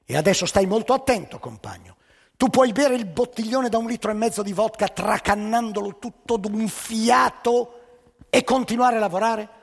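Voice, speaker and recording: A man putting on an officious Soviet party official who questions a worker, with a raspy voice. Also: sits high, moderate pace, in a large hall, pitched above the performer's own voice, heavily acted. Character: pompous Soviet party interviewer, male, officious.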